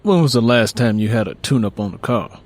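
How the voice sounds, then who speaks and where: A man's voice: medium pitch, fairly quick, close to an everyday voice. A man voicing a streetwise, sly handyman in an audiobook, casual, slangy and persuasive. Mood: matter-of-fact. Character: streetwise, sly male handyman sizing up a deal.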